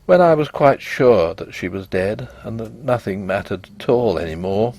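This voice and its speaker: Husky, very expressive male storyteller. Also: on the low side, moderate pace, no echo. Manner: expressive, theatrical. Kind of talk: dramatic first-person literary ghost story narration.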